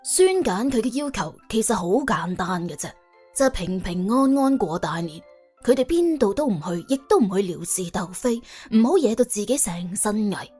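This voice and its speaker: A woman's voice: mid-range voice, animated, normal speed, warm tone, breathy edge. Female audiobook narrator, dramatised and conversational, very varied intonation over a music bed. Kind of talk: dramatised scripted narration of a comic crime story.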